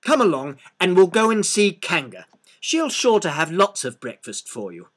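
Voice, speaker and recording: A friendly, simple-hearted storybook bear, voiced by a man. He talks gently and earnestly in a lightly husky, lilting voice. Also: high-pitched, huge pitch swings, moderate pace, pitched above the performer's own voice, full character voice. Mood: puzzled, helpful.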